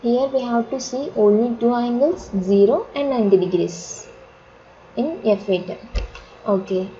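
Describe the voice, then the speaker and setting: A woman with an Indian accent teaching software step by step as an instructor, in short, repetitive instructional phrases. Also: a little high, measured pace, slight background noise. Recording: dull lo-fi audio, chatter of voices behind.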